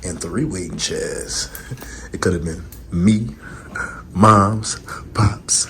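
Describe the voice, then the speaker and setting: A man's voice: deep voice, drawn-out words, a bit bright, slight background noise. A man chatting casually and playfully while filming, teasing and affectionate in his talk. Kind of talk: casual chat filming for the vlog.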